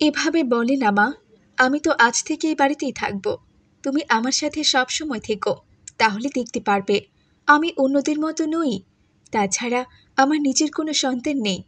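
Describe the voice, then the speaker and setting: A woman voicing a kind, patient stepmother in an animated moral story, tender and coaxing. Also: high voice, normal speed, no echo. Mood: tender, reassuring.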